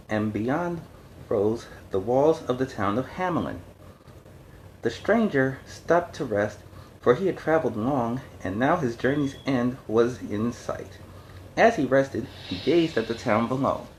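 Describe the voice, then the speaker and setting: A man hosting a children's story time, reading aloud with chatty, halting, informal asides. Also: medium pitch, a little mellow, low-quality recording. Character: friendly costumed superhero storyteller. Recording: noticeable background noise.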